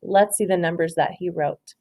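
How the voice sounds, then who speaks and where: A woman reading a children's story aloud expressively, pausing to ask simple questions and encourage answers. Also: on the low side, dark tone.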